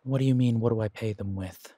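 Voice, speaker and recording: A man playing a menacing, wry pirate captain in a roleplay audio, speaking in a taunting, deliberate drawl with dramatic pauses. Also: mid-range voice, dark tone, breathy edge, no room sound, natural voice. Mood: stern, probing. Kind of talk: scripted roleplay audio acting.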